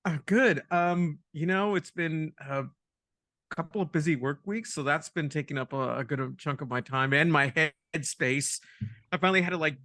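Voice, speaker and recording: Bright-voiced man, easygoing and laughing. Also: fairly high pitch, normal speed, dry recording, clean studio sound. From San Francisco Bay Area. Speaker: podcast guest. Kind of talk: casual review chat.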